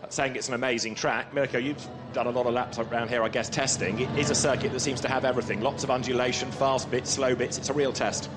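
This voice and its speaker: A man commentating on a motor race from a commentary booth, explaining the rules in a measured, informative way. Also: medium pitch, steady tone, music in the background.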